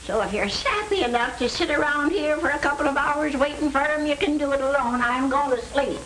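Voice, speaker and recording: A woman's voice: sits high, fairly quick, natural voice. An actress playing a brash, surly older landlady in a 1930s film, blunt and slangy. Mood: irritated, dismissive. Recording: noisy, echoey 1930s film soundtrack.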